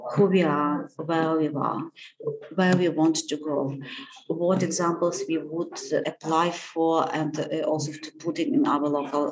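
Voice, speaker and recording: A woman with a Ukrainian accent answering interview questions about her school, thoughtful and halting, often restarting phrases. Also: sits low, rough recording. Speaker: architecture school head.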